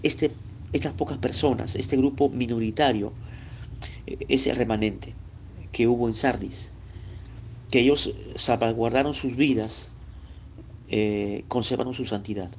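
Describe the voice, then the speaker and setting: A man's voice: medium pitch, steady tone, measured pace, long pauses, slight background noise. Peruvian man teaching a Bible lesson. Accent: Peruvian Spanish, Lima. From Peru. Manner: conversational teaching that addresses the listener directly with tag questions.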